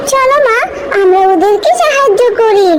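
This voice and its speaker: Very high-pitched little village girl. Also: normal speed, very noisy. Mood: eager. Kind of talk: Bengali children's cartoon dubbing.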